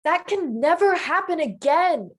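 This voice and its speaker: A woman's voice: extremely high pitch, animated, measured pace. A woman playing a guarded, insistent young woman in a drama table read. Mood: conflicted, pressing.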